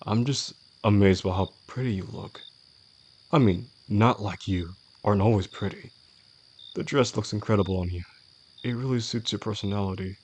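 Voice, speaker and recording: A man playing a possessive, menacing boss in a romantic audio roleplay, speaking in a controlled, formal way with a gravelly voice. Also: on the low side, a little mellow, a little hiss or hum, close to an everyday voice. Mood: flirty, warm. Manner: controlled, deliberate, formal wording. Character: possessive, menacing male boss obsessed with an employee.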